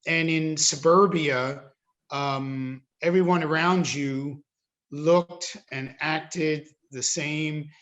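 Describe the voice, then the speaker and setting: A man in his fifties with an American accent, giving reflective interview answers in a thoughtful, halting way and repeating words for emphasis. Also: fairly high pitch, steady tone, a bit bright. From suburban New Jersey, United States. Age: 50s. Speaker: interviewee, Jesuit priest.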